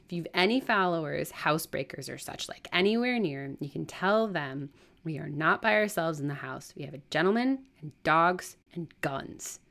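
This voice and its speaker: A woman's voice: deep voice, lively intonation, moderate pace, vocal fry, a bit bright, exaggerated voice. A woman voicing a stern, suspicious old female servant in a novel reading, scolding and dismissive.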